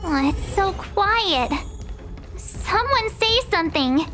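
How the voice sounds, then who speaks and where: A woman playing a cute, excitable young girl in a video game, expressive and dramatic in an informal way. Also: very high-pitched, slow, soft background music, exaggerated voice. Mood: uneasy, curious.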